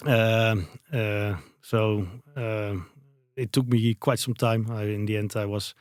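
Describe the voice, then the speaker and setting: A man's voice: on the low side, little pitch movement, takes it slowly, clear, resonant tone, no room sound. A Dutch man in his thirties, a native Dutch speaker, answering interview questions in English with a Dutch accent, hesitant and searching for words with many fillers. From Netherlands. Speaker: guest, company founder.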